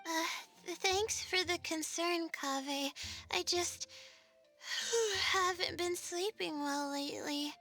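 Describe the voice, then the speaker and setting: Very high, timid, tired young woman. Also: slow, bright, forceful tone, faint music behind, exaggerated voice. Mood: weary, anxious. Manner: hesitant, meek. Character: timid, sleep-deprived, anxious young female character. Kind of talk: animated game voice acting.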